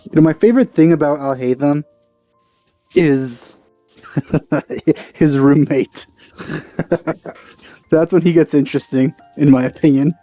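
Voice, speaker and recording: A man's voice: a little high, takes it slowly, long pauses, mellow, dry recording, soft background music. A man giving casual, offhand commentary as he plays a video game, with a wry manner. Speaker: gamer, let's play host.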